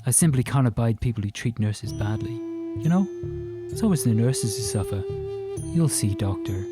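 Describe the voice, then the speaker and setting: An effusive Irishman in his fifties, acted out by a male audiobook reader, who chatters on with swagger and emphasis. His pitch jumps about and there is a boastful, faintly menacing edge to his talk. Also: expressive, normal speed, music in the background, natural voice. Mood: boastful, chatty. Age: 50s. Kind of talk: audiobook character dialogue.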